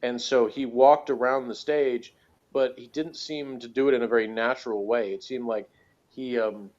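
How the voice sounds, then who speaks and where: A man's voice: medium pitch, steady tone, moderate pace, a little mellow, dull audio. A man in a casual unscripted discussion as a podcast co-host, hesitant and rambling, often circling back over his phrases. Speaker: podcast co-host reviewing a TV show.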